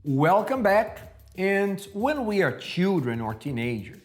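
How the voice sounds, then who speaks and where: A man in his forties presenting a TV talk show in a studio, upbeat and lively. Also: high-pitched, slow pace, soft background music. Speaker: host. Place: TV studio, indoors.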